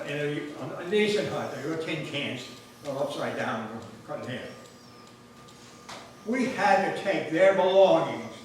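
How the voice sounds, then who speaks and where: An older man, a war veteran, recalls wartime memories in a halting way, repeating words and dropping his voice low. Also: a little hiss or hum. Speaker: veteran speaker. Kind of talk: personal war memories at a ceremony. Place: grange hall. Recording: echoey hall, voice from far off.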